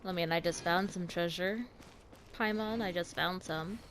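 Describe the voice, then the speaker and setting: A woman's voice: lowish voice, moderate pace, noisy background. A woman streamer giving casual, informal gameplay commentary, half muttering to herself as she plays. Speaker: streamer reacting to a game. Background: game music.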